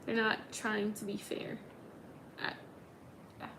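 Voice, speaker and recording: A woman's voice: medium pitch, monotone, takes it slowly, gravelly, noisy background. A woman in her twenties hosting a casual livestream, chatting and answering viewer comments with lots of ums. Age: 20s. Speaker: livestream host.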